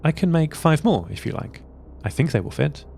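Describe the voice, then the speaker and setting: A man doing a gentle young train girl. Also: on the high side, sing-song, normal speed, creaky, slight background noise, pitched above the performer's own voice. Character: young woman, gentle, caring train daughter.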